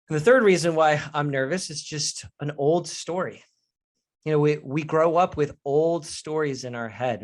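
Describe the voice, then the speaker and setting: Earnest man from Mexico, leading a workshop. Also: medium pitch. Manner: earnest, candid, addresses the audience directly. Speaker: leadership trainer and professional speaker. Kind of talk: live online workshop presentation.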